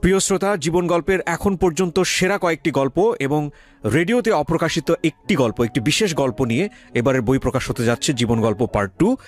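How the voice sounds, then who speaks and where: A man's voice: a little high, lively intonation, a little fast. A man with a Bangladeshi accent hosting a radio show, questioning a guest and addressing listeners. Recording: music under the voice. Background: background music.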